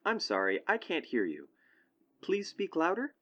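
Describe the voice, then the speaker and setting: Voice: medium pitch, wildly swooping pitch, a little slow, fairly bright tone, exaggerated voice. An actor playing a polite, detached telephone operator in a radio drama, formal in manner, over a muffled and noisy sound.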